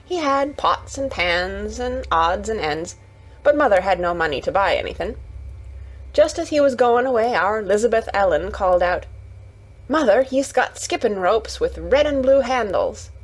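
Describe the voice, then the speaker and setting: A woman's voice: mid-range voice, expressive, normal speed, husky, rough recording. A woman voicing a cheerful young Yorkshire housemaid in a storybook, chatty and eager, with homely dialect and exclamations. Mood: eager, proud.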